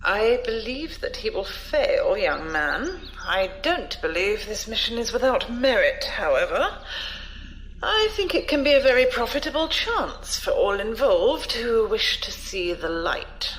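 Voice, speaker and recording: Shrewd old noble lady, slow and deliberate, very expressive pitch, condescending, sci-fi radio play. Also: a little hiss or hum, poor audio. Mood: cool, probing. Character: shrewd, imperious older noblewoman, cryptic and probing. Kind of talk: science fiction audio drama acting.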